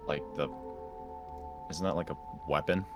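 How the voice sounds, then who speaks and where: An awkward, easygoing guy played by a man in an improvised game roleplay. His voice is low, and he stammers and hedges casually over clearly audible music. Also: steady tone, moderate pace. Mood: unsure.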